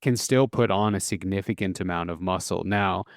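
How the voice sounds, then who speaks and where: A man hosting a solo podcast, explaining at a relaxed pace with casual fillers and self-corrections. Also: low voice, normal speed, dry recording, studio quality. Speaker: podcast host.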